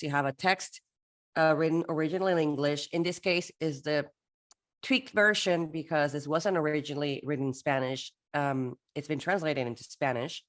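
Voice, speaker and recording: Low-voiced woman from Peru teaching. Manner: lively, playful teaching with acted-out dialogue. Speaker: workshop presenter, interpreter. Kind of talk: online workshop presentation.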